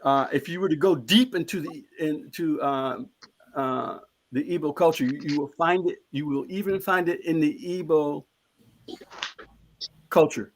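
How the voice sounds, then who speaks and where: A man with a fairly high-pitched voice speaks as a panelist in a live discussion. He is earnest and emphatic, and he circles back over his own phrases as he presses each point home. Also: a little slow, a little hiss or hum.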